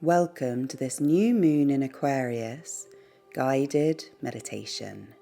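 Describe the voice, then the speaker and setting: A woman leading a guided meditation in a soothing, gentle, unhurried way, pausing between short phrases. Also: very deep voice, gravelly. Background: background music.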